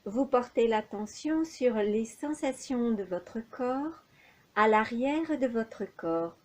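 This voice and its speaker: A woman leading a guided yoga nidra relaxation as an instructor, giving calm, soothing, measured instructions with long pauses. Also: on the high side.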